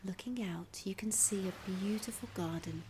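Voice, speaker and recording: A woman narrating a scripted guided imagery meditation in a soft, soothing, breathy voice, gently instructing with long pauses. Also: medium pitch. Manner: unhurried, with long pauses between phrases. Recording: clear background ambience noise.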